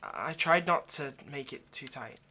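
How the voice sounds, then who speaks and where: Bright-voiced, stammering lovesick vampire played by a man. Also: a little high, normal speed. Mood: anxious apologetic. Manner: hesitant, stammering, rambling, apologetic. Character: nervous, lovesick vampire man, centuries old, apologetic kidnapper. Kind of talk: intimate ASMR roleplay addressed to the listener. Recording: faint background noise.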